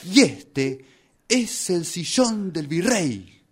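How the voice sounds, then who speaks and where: Un hombre con acento rioplatense interpreta en un radioteatro aficionado a un guía de museo serio, regañón y didáctico, de habla enfática. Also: male voice, sits high, big pitch swings, very slow, husky, full character voice. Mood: indignant. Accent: Rioplatense Argentine. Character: earnest, scolding, didactic museum guide. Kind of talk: amateur radio play rehearsal.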